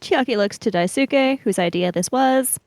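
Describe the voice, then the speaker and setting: A woman with a fairly high voice and noticeable vocal fry plays a tabletop roleplaying game. She casually describes her character's actions, recorded very dry. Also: normal speed, darkish tone, no room sound.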